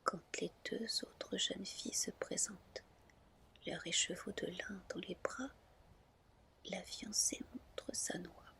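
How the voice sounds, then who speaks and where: A woman narrating a fairy tale gently and in measured phrases, in a whispered ASMR style. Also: mid-range voice, steady tone, creaky. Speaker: ASMR storyteller.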